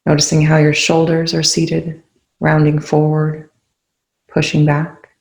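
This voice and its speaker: Soothing meditation leader, really flat gravelly delivery, gentle phrases, roomy echo. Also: mid-range voice, measured pace. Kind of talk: guided meditation, soft instruction. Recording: roomy, echoing indoor sound.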